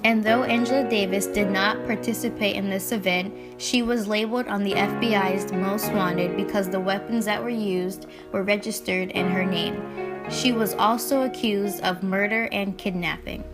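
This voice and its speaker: A woman reading a scripted documentary narration in a measured, read-aloud way. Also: medium pitch, steady tone, loud music behind the voice.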